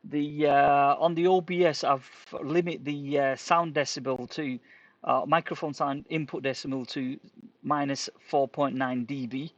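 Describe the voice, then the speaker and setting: A man's voice: on the high side, steady tone, a little slow, dry recording, muffled recording. Rambling man, dry close sound. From England. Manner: rambling and hesitant, many fillers and "basically". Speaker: repair shop owner reviewing a product. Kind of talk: casual product test vlog. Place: indoor workshop, walking between rooms. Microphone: wireless earpiece, later a wired headset.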